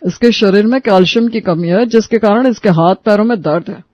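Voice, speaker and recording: Storybook role: earnest physician man performing, very high for a male, explanatory, slightly muffled audio. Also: a little mellow, no echo, close to an everyday voice. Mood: earnest advising. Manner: measured, explanatory. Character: earnest, instructive family doctor advising a parent. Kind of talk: animated moral storybook acting.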